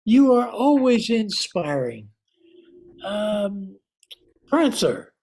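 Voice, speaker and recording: Woman as a mellow, slow-spoken lead reindeer. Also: medium pitch, sing-song, vocal fry, heavily acted. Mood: patient. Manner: polite, measured. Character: patient, courteous lead reindeer organizing the team. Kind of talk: amateur radio play acting.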